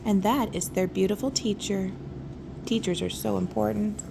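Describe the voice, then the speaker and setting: A woman reading a picture book aloud to young children, with gentle, admiring, chatty asides. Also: medium pitch, fairly even intonation, moderate pace, gravelly, noisy background.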